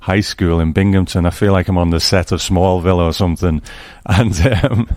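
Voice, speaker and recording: Deep-voiced man chatting casually, dry sound. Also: steady tone, a little fast, darkish tone, no echo. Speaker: podcast host. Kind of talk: casual chat.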